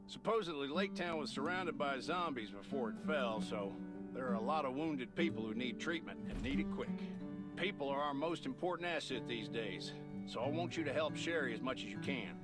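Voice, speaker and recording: A man's voice: mid-range voice, lively intonation, moderate pace, over loud music, natural voice. An actor voicing a grave, cautious male leader of a survivor camp, giving earnest briefings in a video game. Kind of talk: video game quest dialogue.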